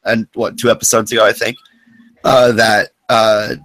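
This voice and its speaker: A man hosting a casual fan podcast, rambling with lots of fillers and restarts, his voice getting hoarse. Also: fairly low pitch, level tone, normal speed, a bit bright, rough recording. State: losing his voice. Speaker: podcast host.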